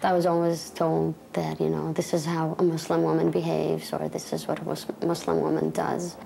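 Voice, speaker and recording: A woman's voice: low voice, monotone, normal speed, gravelly, warm tone, a little hiss or hum. A woman from Palestine speaking earnestly and personally in an interview.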